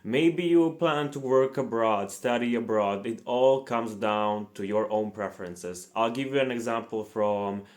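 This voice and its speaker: A man's voice: on the low side, a little slow, hardly any pauses. A young man from Montenegro, in English, hosting a scripted, earnest explainer on learning languages. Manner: earnest, plain, slightly formal non-native phrasing. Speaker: language-learning channel host.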